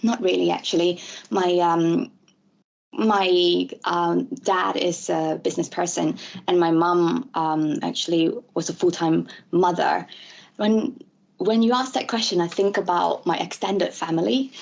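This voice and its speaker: A woman from Malaysia in her thirties, a guest in an interview, who talks in a halting way with many fillers and restarts. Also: on the low side, fairly even intonation, creaky. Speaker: interview guest, ADHD advocate. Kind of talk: conversational interview. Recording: dull lo-fi audio.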